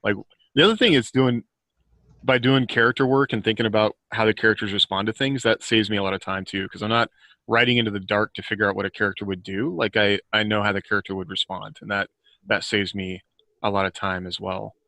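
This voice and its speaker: A man's voice: on the low side, fairly even intonation, quick pace, bright, forceful tone, dry recording. A man speaking as an author on a casual podcast panel, chatty and self-deprecating.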